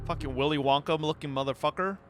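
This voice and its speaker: Brash, high-pitched man reacting loudly. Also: fast talker, faint music behind. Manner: brash, profane, heckling. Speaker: streamer reacting to a game story. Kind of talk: casual live reaction commentary.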